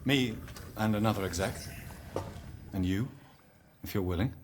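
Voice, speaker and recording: An actor playing a calm corporate company man, speaking in a measured, persuasive way in a video game cutscene. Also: fairly low pitch, noisy background, lightly acted. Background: music.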